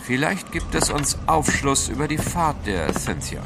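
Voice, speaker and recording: An actor playing a determined, polite gentleman adventurer leading a polar expedition in an audio drama. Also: a little high, sing-song, a little slow, over music, heavily acted. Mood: calm, determined. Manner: polite, decisive. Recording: clear outdoor background noise.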